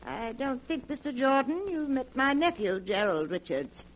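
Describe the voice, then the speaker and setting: A woman in 1940s radio acting, playing a young actress who poses as her wealthy old aunt, commanding and brisk. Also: sits high, animated, a little hiss or hum. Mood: imperious.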